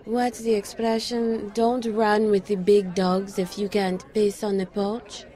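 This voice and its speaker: An actress playing a petite, caring but sharp-tongued former lover in a noir audio drama, speaking earnestly and pointedly. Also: female voice, mid-range voice, flat delivery, measured pace, a faint crowd, natural voice. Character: tiny, sharp-tongued, caring female old flame, a pixie.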